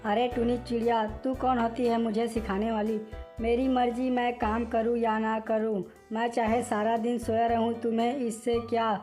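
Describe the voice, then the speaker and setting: A lazy, thieving male cartoon mouse, voiced by a woman, brags shamelessly in a slightly high voice. The defiant lines come out in a flat, even tone over faint music. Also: moderate pace, close to an everyday voice. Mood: defiant, mocking. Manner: defiant, boastful. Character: fat, lazy, brazen male mouse thief. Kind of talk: Hindi animated moral story dialogue.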